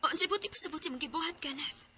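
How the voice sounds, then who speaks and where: A woman's voice: high-pitched, rapid-fire, sharp tone, slight background noise. An actress with a Cebuano Filipino accent playing a lovestruck young woman in a radio drama. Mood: excited, insistent. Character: lovestruck young woman confessing love to her friend.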